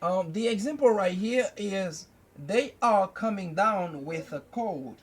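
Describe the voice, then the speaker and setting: A young man teaching an English lesson at a whiteboard, slowly repeating each phrase several times. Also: high voice, animated, husky. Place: indoors at a whiteboard. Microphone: camera close by.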